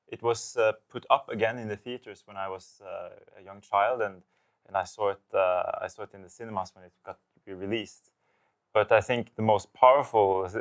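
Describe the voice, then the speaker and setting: A man from Norway, a film director, answering interview questions thoughtfully, with many fillers and restarts. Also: lowish voice.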